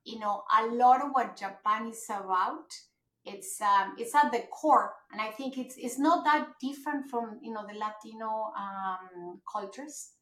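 A woman from Mexico, a podcast guest, talking in an animated way with many fillers and restarts. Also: on the high side, a little slow. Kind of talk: conversational podcast interview. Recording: roomy echo.

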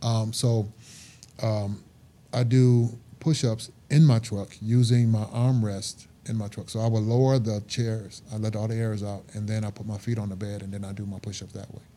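A man's voice: fairly low pitch, steady tone, normal speed, mellow. A man in his fifties, a truck driver being interviewed, talking earnestly and emphatically and repeating his points. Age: 50s. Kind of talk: casual interview talk.

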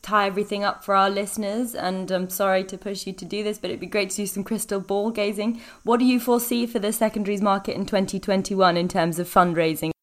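A woman's voice: mid-range voice, fairly even intonation, fast talker, few pauses. A woman hosting a business podcast, putting questions to guests in a conversational, professional way. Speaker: podcast host, interviewer.

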